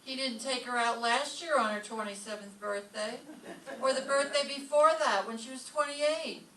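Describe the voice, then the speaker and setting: A woman playing a sharp-tongued English teacher with dry, sarcastic delivery in an old-time radio comedy, recorded from a distance in a roomy space. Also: high voice, moderate pace. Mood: jealous, sardonic. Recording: distant roomy stage pickup, faint noise.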